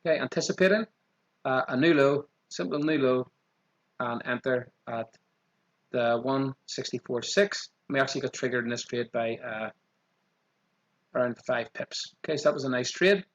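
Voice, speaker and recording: A man with a strong Irish accent walking through trading charts quickly, often saying "okay" as he goes. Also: mid-range voice, lo-fi sound. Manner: quick, repeats 'okay' as a filler. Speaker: trading channel host. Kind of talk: screen-share chart walkthrough.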